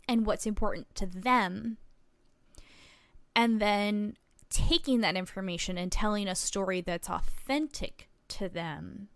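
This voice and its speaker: A woman playing an earnest, patient small-town reporter in an audio drama, explaining things in a measured, reflective way. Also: mid-range voice, clean tone, dry recording. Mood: patient, instructive. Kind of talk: audio drama dialogue, naturalistic.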